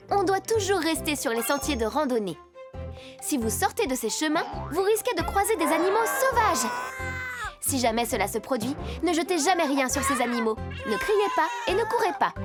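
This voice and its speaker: A woman's voice: high-pitched, big pitch swings, normal speed. A woman voicing a caring female rescue vehicle in a French children's cartoon dub, instructive and reassuring. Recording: loud children's music behind.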